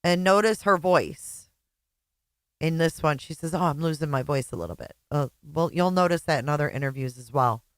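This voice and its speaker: A woman giving casual reaction commentary on old interview clips, chatty with quick asides. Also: low voice, dry recording, clean studio sound.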